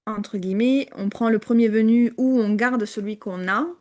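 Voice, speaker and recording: A woman co-hosting a casual podcast chat, hesitant, with many fillers and restarts and frequent quiet drops. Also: on the high side, moderate pace. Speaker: podcast co-host.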